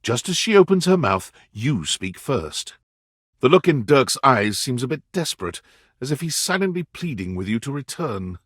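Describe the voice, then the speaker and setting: An actor playing a video game's narrator, telling a story in a dramatic, measured way. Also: male voice, mid-range voice, a bit bright, husky, no echo, clean studio sound. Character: male storybook-style narrator of a dating game. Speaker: game narrator. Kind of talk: scripted second-person story narration.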